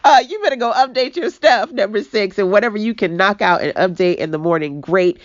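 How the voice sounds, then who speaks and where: A woman from Brooklyn with a deep, raspy voice, giving a chatty motivational talk as a business coach, laughing and quoting people as she goes. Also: brisk, no room sound. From Brooklyn, New York. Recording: dull lo-fi dry recording.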